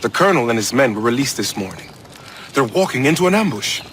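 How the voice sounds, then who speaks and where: A man's voice: medium pitch, very animated, fast, a bit bright, slight background noise. A man playing an earnest colonial ally in a video game, speaking seriously and formally. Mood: grave, concerned. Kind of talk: video game cutscene acting.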